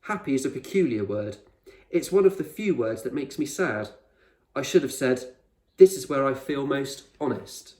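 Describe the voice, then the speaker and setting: A man voicing an eccentric, proud male explorer in a storybook, speaking with measured authority and reassurance. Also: mid-range voice, lively intonation, a little mellow, pitched above the performer's own voice, natural voice. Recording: roomy echo, distant microphone.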